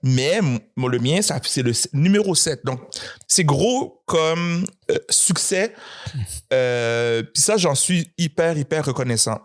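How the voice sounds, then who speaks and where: A man talks as a guest on a podcast. His voice sits a little high, and he chooses his words carefully, with small hesitations and restarts. He sounds thoughtful and measured. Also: expressive. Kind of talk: casual conversation.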